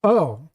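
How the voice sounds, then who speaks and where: A man hosts a relaxed livestream, rambling along with easy self-deprecation. His voice sits a little higher than most men's, and his talk is loose and unscripted. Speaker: livestream host. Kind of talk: casual chat.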